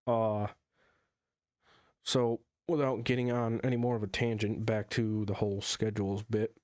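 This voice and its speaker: A young man talks through his solo podcast in a low, fairly monotone voice, wandering off on tangents and correcting himself as he goes. His throat is still slightly hoarse from a cold, and the recording sounds dry and close, with little room around it. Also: dry recording. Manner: rambling, frequent fillers and self-corrections. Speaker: podcast host. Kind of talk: casual solo podcast talk.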